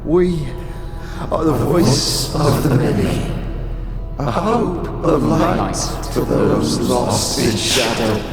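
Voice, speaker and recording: A vast reverberant hall carries this eerie spirit of the many. It speaks slowly and solemnly with swooping pitch, coaxing and accusing, as in a fantasy audio drama, with loud music around it. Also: male voice, animated. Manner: slow, solemn, declamatory. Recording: cavernous long reverb over loud music.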